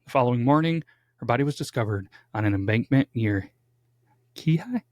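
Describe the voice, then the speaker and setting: Young male podcast host, mid-pitch, chatty and jokey, dry close studio sound. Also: lively intonation, fairly quick, no room sound. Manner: chatty, jokey, dramatic build-ups. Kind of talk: casual true-crime podcast chat. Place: home studio.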